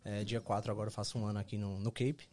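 A man in his twenties with a softened Pernambuco accent, telling his story in relaxed slangy chat as a podcast guest. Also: fairly low pitch, fast talker. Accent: Pernambuco, Brazil, softened. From Pernambuco, Brazil. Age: 20s.

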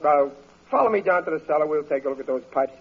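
A man's voice: on the high side, expressive, quick pace, close to an everyday voice. An actor playing a gullible, easily exasperated middle-aged American working man in a 1940s radio comedy, with slangy, ungrammatical, blustering speech. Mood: impatient, insistent. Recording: muffled, noisy vintage radio recording.

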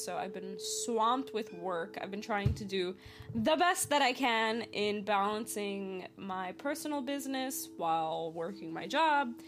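A woman in her early twenties hosting a casual solo podcast, rambling and upbeat, laughing often as she talks. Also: mid-range voice. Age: 20s. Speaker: podcast host. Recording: faint singing bowls behind. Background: soft singing bowls.

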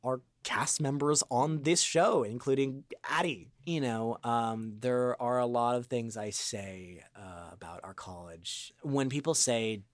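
Young man, podcast guest, earnest and halting, casual reflective delivery, very dry recording. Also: mid-range voice, dry recording. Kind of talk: casual reflective interview chat.

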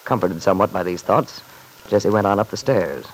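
A male narrator with a fairly low voice tells a scripted domestic drama. His delivery is in the style of classic radio soap opera storytelling. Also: a little fast, a little hiss or hum, low-quality recording.

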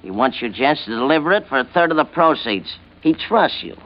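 A man's voice: medium pitch, sing-song, brisk, natural voice. A man plays a crook's wisecracking henchman. He has a mid-range voice and cuts in with blunt, sardonic slang. The sound is a dull vintage radio drama recording. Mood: sardonic, impatient. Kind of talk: old-time radio drama acting. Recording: dull, band-limited old radio recording.

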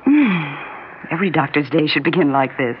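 An actress playing a brisk, determined young female doctor in an old radio drama. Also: sits low, animated. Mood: tender, concerned. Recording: muffled, faintly noisy old radio recording.

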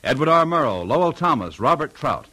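A man's voice: on the high side, big pitch swings, fairly quick, sharp tone, husky. A man announcing in scripted 1950s network radio style.

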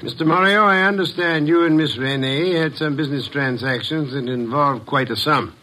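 A man's voice: on the high side, moderate pace, a crowd in the background, close to an everyday voice. A man playing a pompous, irritable genius detective, speaking formally and wordily in an old-time radio drama. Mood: formal, probing. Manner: pompous, wordy, formal, sardonic.

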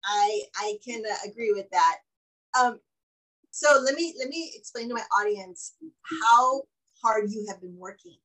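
A woman's voice: fairly high pitch, unhurried, a bit bright, rough recording. A woman hosting a podcast, upbeat and gushing as she welcomes and interviews a guest. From Mexican heritage. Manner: upbeat and gushing, with frequent laughs. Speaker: podcast host. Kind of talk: casual interview chat.

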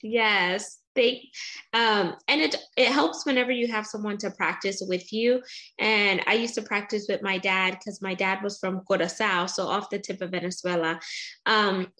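A woman's voice: mid-range voice, steady tone, normal speed, sharp tone. A woman with a middle-range voice hosts a podcast interview. She talks in an upbeat, chatty way, with casual fillers between her questions. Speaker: podcast host.

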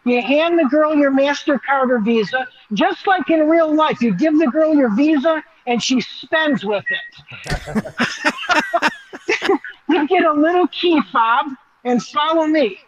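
A man's voice: very high voice, takes it slowly. A male roving reporter explains things in an enthusiastic, conversational way. His live feed sounds slightly dull and lo-fi.

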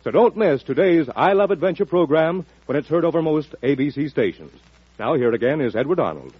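An American man announcing a 1940s radio promo in a punchy, dramatic, husky voice. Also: on the high side, expressive, a little fast. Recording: muffled old radio recording, dry.

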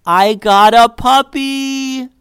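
A man voicing an excitable, chatty young girl in a children's storybook, gushing breathlessly. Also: mid-range voice, normal speed, no room sound, studio quality, natural voice. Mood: thrilled, chatty.

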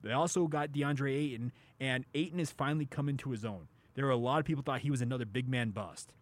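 A man's voice: mid-range voice, fast talker. A man hosting a sports talk show, chatting casually and emphatically, stretching out key phrases for weight.

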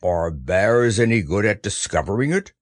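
A man voicing a friendly, slow-witted toy bear in a hesitant, good-natured storybook manner. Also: on the low side, lively intonation, no room sound, studio quality, voice pushed down, close to an everyday voice. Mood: puzzled.

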